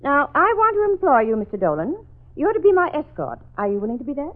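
Prim, strict middle-aged schoolteacher, high and lively, quick, on a tinny old radio broadcast. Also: female voice. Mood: prim, brisk. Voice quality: prim, crisp and brisk. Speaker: radio drama actress. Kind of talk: 1940s radio acting.

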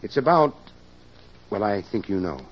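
An actor playing an earnest, prim psychologist professor with formal, careful diction in 1940s radio drama style. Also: male voice, lowish voice, lively intonation, normal speed, lightly acted. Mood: apologetic. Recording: dull old radio recording.

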